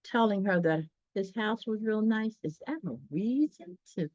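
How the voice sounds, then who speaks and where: A woman reading a children's story aloud, pausing dramatically and chatting directly to her listeners. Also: mid-range voice, sing-song, darkish tone, no room sound. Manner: dramatic pauses, gasps, talks directly to listeners. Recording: dull, dry lo-fi sound.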